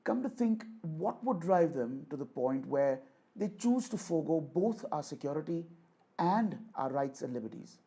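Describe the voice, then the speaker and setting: A man giving a rhetorical political speech in a declamatory, deliberate manner, repeating phrases for emphasis. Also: a little high, animated, mellow, breathy edge. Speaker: orator reading a voice sample.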